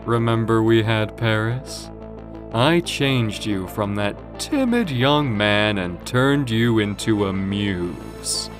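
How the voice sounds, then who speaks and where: Guy playing a smug showy villain for an audio drama, drags out his words, voice swoops around a lot, taunting. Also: lowish voice. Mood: gloating. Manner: theatrical, taunting, savoring words with drawn-out pauses. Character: cocky, flamboyant male villain gloating over his captive creation.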